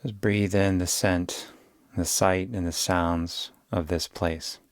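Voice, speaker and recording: Deep, very slow man guiding meditation. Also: fairly even intonation, clean tone, no echo. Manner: soothing, unhurried, gentle instruction with long pauses. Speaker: yoga nidra guide. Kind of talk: guided meditation.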